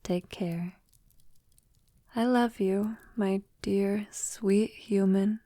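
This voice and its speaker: A woman playing a gentle, conscience-troubled vampire lover in an intimate ASMR audio roleplay, speaking softly, tenderly and hesitantly. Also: mid-range voice, fairly even intonation, very slow, dry recording, studio quality. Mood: tender, loving. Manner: soft, tender, hesitant, often dropping to a whisper. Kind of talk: ASMR girlfriend roleplay, soft intimate acting.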